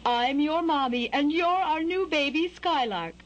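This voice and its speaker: A woman's voice: high-pitched, unhurried, muffled recording, voice pushed up. A woman voicing a fretful, overbearing mother bird in a melodramatic storybook manner. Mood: gushing. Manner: melodramatic, woeful, scolding. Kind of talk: storybook character voice.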